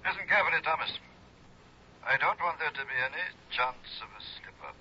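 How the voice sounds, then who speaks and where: An actor playing a smooth, scheming blackmailer on a 1960s radio drama, speaking in a silky, gloating way with drawn-out menace. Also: male voice, a little high, expressive, pushed and bright, a little hiss or hum. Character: smooth scheming male blackmailer, middle-aged. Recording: dull old radio broadcast.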